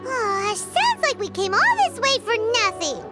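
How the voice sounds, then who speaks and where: A squeaky little fairy sidekick. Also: female voice, huge pitch swings, over loud music, full character voice. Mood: reacting. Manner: squeaky, quick to react, nosy. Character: tiny floating female fairy companion, excitable and blunt. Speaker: game character. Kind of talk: video game voice acting.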